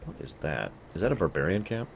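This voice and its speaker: A man's voice: deep voice, sing-song, quick pace, gravelly, husky. A man casually talking through a strategy game for his stream viewers, chatty and given to sudden mock outbursts. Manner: chatty, talks to chat, swings into mock outbursts. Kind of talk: casual live gameplay commentary.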